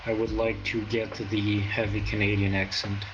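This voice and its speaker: A man's voice: fairly low pitch, completely flat delivery, normal speed, background noise. Hesitant Portuguese young man, lo-fi. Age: 20s. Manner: hesitant, many fillers and restarts. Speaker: guest. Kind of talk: casual interview in VR.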